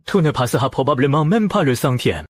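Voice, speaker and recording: A man's voice: mid-range voice, lively intonation, brisk, no room sound, natural voice. A man playing an arrogant, jealous young prodigy who talks with haughty scorn in a dubbed animation. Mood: scornful. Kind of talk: dubbed animated martial arts drama.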